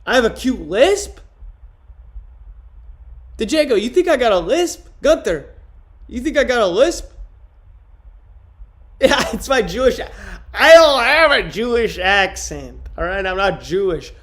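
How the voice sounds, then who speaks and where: A man's voice: extremely high pitch, big pitch swings, measured pace, long pauses, a bit bright. A young man from upstate New York chatting casually on a live stream, rambling and swearing as he answers chat comments. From Rochester, New York.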